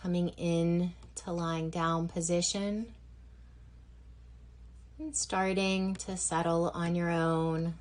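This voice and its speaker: A woman guiding a yoga nidra relaxation session as instructor, gentle and unhurried, leaving long pauses between phrases. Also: fairly low pitch, flat delivery. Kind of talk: guided meditation instruction.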